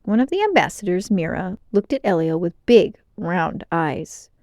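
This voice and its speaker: A woman narrating a children's novel aloud in an expressive storytime style. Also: on the low side, moderate pace, dry recording, clean studio sound.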